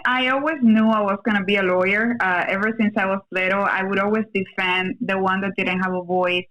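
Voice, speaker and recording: A woman's voice: medium pitch, fairly bright tone, rough recording. A woman from Cuba and Venezuela, a native Spanish speaker, answering interview questions as an attorney in short, simple phrases. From born in Cuba, raised in Venezuela, in the US since her teens. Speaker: podcast guest, attorney.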